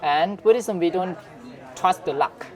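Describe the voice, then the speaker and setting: A young man with a Thai accent, a Buddhist monk, talking haltingly in an interview as he searches for words. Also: high-pitched. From Shan State, Myanmar, raised in Thailand. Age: young adult. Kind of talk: casual interview conversation. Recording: busy crowd noise around. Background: crowd chatter.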